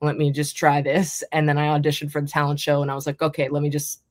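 Slightly raspy young woman, rambling. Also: monotone, fast, dry recording, studio quality. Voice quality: slight rasp in a deep speaking voice. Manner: rambling, lots of likes and restarts. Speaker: singer-songwriter guest. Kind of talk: casual interview talk.

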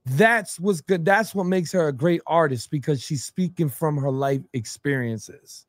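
A man hosting an interview, animated and speaking directly to the viewers. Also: fairly high pitch, measured pace, no room sound. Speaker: interview host. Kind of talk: casual interview talk.

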